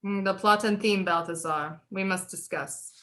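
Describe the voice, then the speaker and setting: A woman's voice: mid-range voice, fairly even intonation, moderate pace, sharp tone, close to an everyday voice. A woman playing an imperious, scheming French queen mother, grand and precise, in an audio drama. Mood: scheming, eager. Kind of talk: stage-style audio drama acting.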